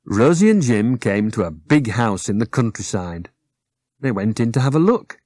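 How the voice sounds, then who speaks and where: A man's voice: medium pitch, very animated, moderate pace, a little mellow, dry recording. A British man reading children's stories aloud as the narrator of an audiobook. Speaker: author and narrator.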